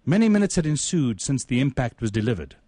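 A man reading his own literary short story aloud in a deliberate, measured, ornate manner. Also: medium pitch, sing-song, mellow, no room sound.

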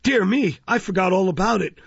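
A man voicing a kindly, absent-minded old gentleman, genteel and easily flustered, in an audiobook. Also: medium pitch, steady tone, moderate pace, husky, no room sound, muffled recording, natural voice. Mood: flustered surprise. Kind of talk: dramatised audiobook reading of a classic novel.

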